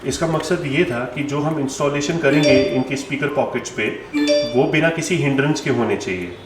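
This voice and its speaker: Male Indian tutorial presenter, mid-pitched, conversational and instructive, roomy echo, music clearly behind. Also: normal speed. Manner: instructive, repeats key points, mixes in English terms. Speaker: store presenter demonstrating helmet intercom installation. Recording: echoey room with music behind.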